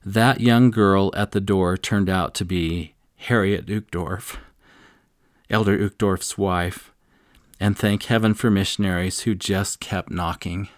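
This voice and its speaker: A man with a fairly low voice teaches a devotional scripture lesson in an earnest, measured way. He sits close to the microphone in a dry, dead-sounding space and slows down to let important points land. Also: fairly even intonation, dry recording. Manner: earnest, slows down to stress key lines. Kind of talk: devotional teaching with personal stories.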